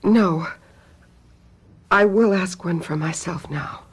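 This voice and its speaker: An actress playing an anxious, earnest young woman seeking occult guidance, with hushed drama, in a radio play. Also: lowish voice, slow pace, background noise.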